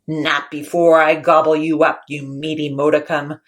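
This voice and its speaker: A woman storyteller voicing a hungry male troll who booms threats from under a bridge, loud and menacing. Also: a little slow. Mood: booming. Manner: booming, menacing.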